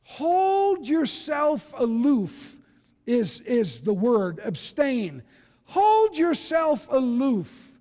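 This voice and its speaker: A man's voice: extremely high pitch, wildly swooping pitch, extremely slow, mellow. A man of about seventy, preaching a fervent evangelical sermon in an exhorting, emphatic way, repeating phrases for weight. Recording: reverberant hall with long echo.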